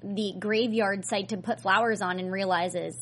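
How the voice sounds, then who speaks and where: A woman's voice: mid-range voice, fairly even intonation, moderate pace, fairly bright tone, a little hiss or hum. A woman hosting an after-show, chatting casually and animatedly with a panel and viewers. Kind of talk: casual fan discussion.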